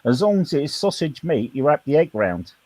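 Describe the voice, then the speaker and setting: A man's voice: a little high, big pitch swings, normal speed, darkish tone. Blunt British man on a call. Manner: blunt, says "mate" a lot. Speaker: panelist. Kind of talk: casual online panel chat.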